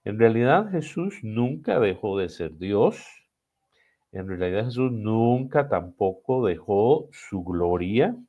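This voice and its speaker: Low-voiced Costa Rican man, teaching emphatically. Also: muffled recording. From Costa Rica. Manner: didactic, emphatic repetition in short phrases. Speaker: missions director, podcast guest. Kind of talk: explanatory teaching in a podcast conversation.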